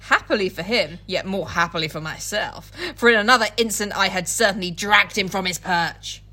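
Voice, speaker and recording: A woman's voice: medium pitch, moderate pace, sharp tone. Irreverent, giggly woman chatting and reading aloud. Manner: irreverent, giggly, swears freely. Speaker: podcast co-host. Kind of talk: casual comic chat with dramatic book readings.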